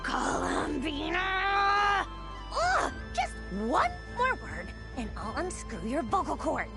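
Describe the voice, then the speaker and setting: A haughty young woman from a video game, high in pitch and unhurried in pace. She is prickly and easily flustered, with an extremely swooping delivery full of scoffs and exasperation. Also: huge pitch swings, full character voice. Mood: flustered, annoyed. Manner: scoffing, exasperated, sharp-tongued. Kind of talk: dramatic video game voice acting. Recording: music behind the voice. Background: music.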